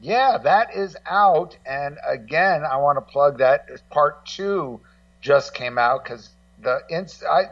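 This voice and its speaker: A man in casual radio conversation, rambling and halting as he repeats words and acts out what other people say. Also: medium pitch, dry recording. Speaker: guest co-host and music documentary maker. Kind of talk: casual radio talk, telling anecdotes. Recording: muffled, slightly distant voice feed.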